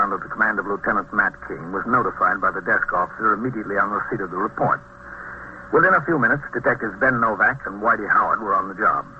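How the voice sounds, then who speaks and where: An actor playing a courteous, businesslike American police captain who narrates and questions in a clipped, matter-of-fact way in 1950s radio drama style. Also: male voice, fairly low pitch, lightly acted. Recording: dull, noisy vintage radio recording.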